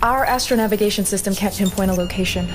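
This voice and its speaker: A woman's voice: medium pitch, quick pace, close to an everyday voice. Woman playing a starship officer over music. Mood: concerned. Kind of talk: cinematic game drama. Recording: music behind the voice.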